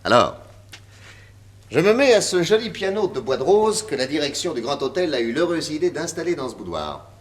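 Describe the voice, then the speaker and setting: An actor playing a confident, witty detective inspector with a French accent, emphatic and theatrical in 1960s radio drama style. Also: male voice, fairly high pitch, very animated, fairly quick, slightly rough. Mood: triumphant, playful. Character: confident, witty male detective inspector explaining his deductions.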